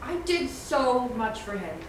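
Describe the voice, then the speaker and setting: A woman playing a dramatic, heartbroken sixteen-year-old high school girl at a screenplay table read, with whiny, emphatic delivery. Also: very animated, slow. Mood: hurt, defensive. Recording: very echoey room, distant mic, faint noise.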